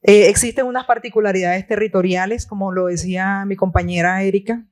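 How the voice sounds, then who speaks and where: Woman, native wayuunaiki speaker, formal and slow-measured, mid pitch, panel talk. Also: fairly even intonation, a little mellow. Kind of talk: formal conference presentation. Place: conference hall.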